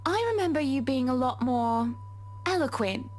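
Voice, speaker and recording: A woman's voice: sits high, lively intonation, normal speed, a little mellow. A woman voicing a refined, composed grown woman who speaks in a formal, polite way. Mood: gracious.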